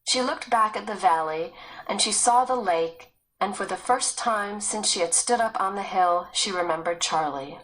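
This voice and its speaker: Female narrator for scripted children's fiction, medium-pitched, storytelling delivery. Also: moderate pace, fairly bright tone, low-quality recording. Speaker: audiobook narrator.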